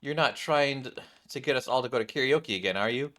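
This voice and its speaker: A man's voice: medium pitch, quick pace, fairly bright tone, lightly acted. A man voicing a perky, teasing schoolgirl as he reads game cutscene dialogue aloud. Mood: playful teasing.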